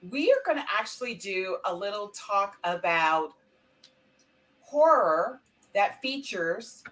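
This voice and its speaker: A woman's voice: medium pitch, big pitch swings, slow pace, fairly bright tone, rough recording. A woman hosting a casual live show in an informal, rambling way, often correcting herself as she goes. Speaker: talk show host.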